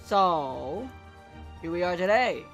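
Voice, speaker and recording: A game livestreamer with a hoarse, partly lost voice, chatting casually and excitably with viewers. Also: mid-range voice, slow, faint music behind. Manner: excitable, exclaiming greetings to chat. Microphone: close microphone.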